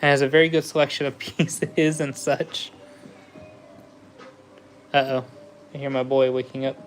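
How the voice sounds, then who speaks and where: A man's voice: mid-range voice, level tone, unhurried, slight background noise. A man chatting casually with viewers while building a Lego set on a livestream, easygoing and laughing often. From USA. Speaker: livestream host.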